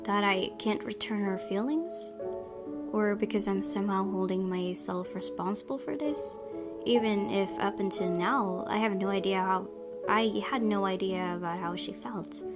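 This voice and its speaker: Gaming host: woman, expressive informal read of on-screen story, medium pitch, clear game music behind. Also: moderate pace. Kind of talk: reading game text aloud with casual reactions.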